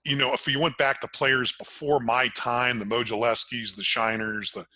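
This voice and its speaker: An older American man, a sports announcer giving an interview, talking conversationally and landing key points with deliberate emphasis. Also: mid-range voice, flat delivery, sharp tone, no echo. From Maryland, United States. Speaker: sports announcer, podcast guest.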